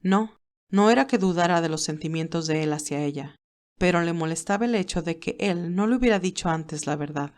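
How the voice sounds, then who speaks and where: A woman's voice: lowish voice, a little fast, a little mellow. A woman reading scripted story narration as an audiobook narrator.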